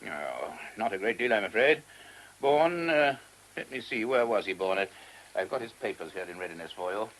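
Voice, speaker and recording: An actor playing a courteous, earnest monastery abbot with a British accent and a hesitant, genteel manner in a radio play. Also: male voice, lowish voice, lively intonation, normal speed, fairly bright tone, slight background noise, low-quality recording. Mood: earnest, explaining. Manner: hesitant, genteel, old-fashioned English turns of phrase. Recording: old radio drama recording.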